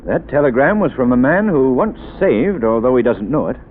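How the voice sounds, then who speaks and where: An actor playing a composed, suave wealthy crime-fighter in a 1930s radio drama. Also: male voice, mid-range voice, animated, normal speed, slight background noise, lightly acted. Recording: tinny old radio broadcast.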